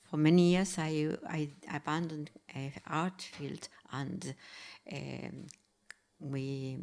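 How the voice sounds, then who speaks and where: An older woman in her seventies from Argentina speaks in a low, gravelly voice, slow and almost monotone. She stops often as she searches for the right words in a panel conversation. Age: 70s. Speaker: artist, panel guest.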